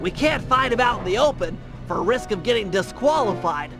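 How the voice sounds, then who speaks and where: A man's voice: sits high, expressive, fairly quick. A man voicing a nerdy, earnest young gadget genius who briefs in a precise, wordy way for a video game. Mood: serious. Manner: precise, formal, lecturing briefing delivery. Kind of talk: animated video game cutscene dialogue. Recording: loud music under the voice.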